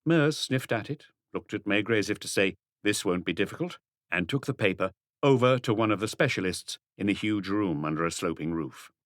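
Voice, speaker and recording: A man's voice: lowish voice, fairly quick, fairly bright tone, no echo, clean studio sound. A man reading scripted mystery narration as an audiobook narrator.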